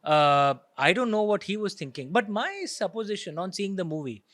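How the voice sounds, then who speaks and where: A man's voice: sits high, big pitch swings, normal speed, fairly bright tone, no room sound. A man with an Indian accent explaining his views in an interview, emphatic and argumentative, often checking in with rhetorical questions. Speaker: author of historical fiction, interview guest.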